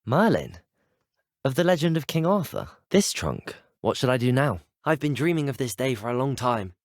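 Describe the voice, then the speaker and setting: A polite, eager student wizard from a video game, with an English accent and a medium-pitched voice. His delivery is earnest and well-spoken, with lots of melodic movement. The sound is dry and studio-clean. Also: sing-song, moderate pace, dry recording. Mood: earnest, curious. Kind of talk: scripted game dialogue lines.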